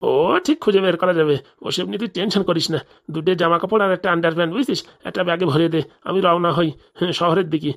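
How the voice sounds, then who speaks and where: A woman voices a money-hungry village father from a comic cartoon, rattling along at breakneck speed in a lowish voice. He blusters and insists in colloquial, speech, always impatient and scheming. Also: fairly even intonation, fast, close to an everyday voice. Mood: determined, blustering.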